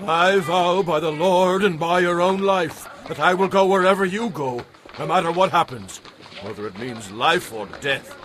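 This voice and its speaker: Male actor as loyal army captain, resolute, fairly high voice, Bible drama, faint outdoor birdsong. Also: normal speed, a bit bright, slight background noise, close to an everyday voice. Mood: resolute, devoted. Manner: vowing, resolute. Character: fiercely loyal male soldier captain swearing allegiance. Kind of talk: dramatized audio Bible acting.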